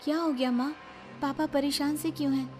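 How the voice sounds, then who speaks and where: A woman voicing an earnest, expressive young bride-to-be and devoted daughter in a cartoon story. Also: sits high, brisk, soft background music, pitched above the performer's own voice, natural voice. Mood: concerned.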